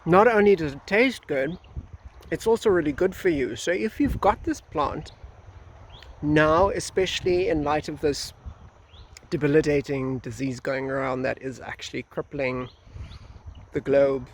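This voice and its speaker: Slightly hoarse, creaky South African man. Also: on the high side, measured pace. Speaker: gardening video host, nursery gardener. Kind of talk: informal vlog talk to camera. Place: outdoors in a breezy garden nursery. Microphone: phone at arm's length. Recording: outdoor phone recording with faint background noise.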